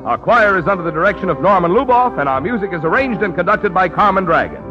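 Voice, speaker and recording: A man's voice: sits high, animated, fast, music in the background. A man announcing a 1940s radio show in a grand, declamatory style. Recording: muffled vintage 1940s radio broadcast.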